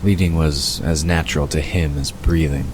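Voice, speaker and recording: A man with an exceptionally low voice narrates a story. He reads scripted prose in audio drama style, with noticeable ambient noise behind him. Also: fairly even intonation, normal speed.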